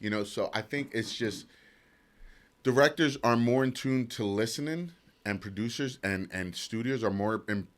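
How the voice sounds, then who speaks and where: A man's voice: medium pitch, normal speed. A middle-aged man co-hosting a podcast, talking in a casual, conversational way. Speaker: podcast co-host. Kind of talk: casual chat.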